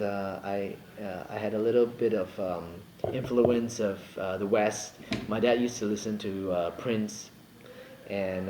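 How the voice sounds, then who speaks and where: A man's voice: lowish voice, noisy background. Playful young man from Singapore. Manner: playful, jokey, rambling with many fillers. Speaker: singer, interview guest. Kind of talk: casual interview chat. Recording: roomy echo, faint ambience.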